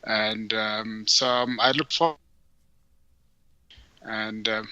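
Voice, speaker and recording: A man from Ethiopia, a guest answering interview questions, speaking haltingly with many fillers and restarts. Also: fairly low pitch, fairly even intonation, bright, forceful tone, clear, resonant tone, dry recording, muffled recording. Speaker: guest entrepreneur.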